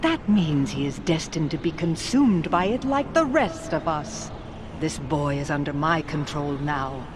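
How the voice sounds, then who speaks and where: An actress playing a cold, menacing evil sorceress in a game cutscene, speaking in a stately, pompous, theatrical way. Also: female voice, low voice, expressive, measured pace, noisy background. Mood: cruel triumph. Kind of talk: animated game cutscene acting. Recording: loud music behind the voice.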